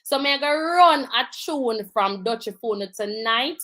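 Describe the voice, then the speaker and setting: Slow-spoken woman hosting. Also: fairly high pitch, expressive, a bit bright. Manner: upbeat introductions with patois asides. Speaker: talk show host. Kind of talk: live show hosting.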